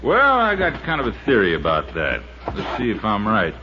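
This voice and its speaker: A folksy, cheerful American country handyman in a fifties radio play. His voice is on the low side and very animated. The old recording is muffled and noisy. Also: normal speed. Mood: calm, wry. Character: Buster, cheerful, folksy, sharp-eyed male resort handyman. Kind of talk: 1950s radio acting.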